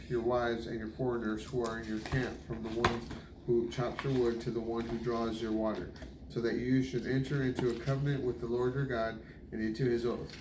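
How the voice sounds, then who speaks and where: Roomy, distant recording of man reading scripture. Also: mid-range voice. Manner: measured, deliberate, stumbles over some names. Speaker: Bible reader. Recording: roomy, distant mic, noisy background.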